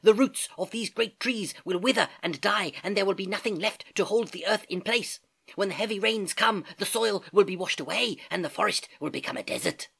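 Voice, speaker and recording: A storyteller voicing a troop of chattering monkeys, pitched high and talking fast. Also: creaky, a bit bright, slightly rough. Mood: urgent.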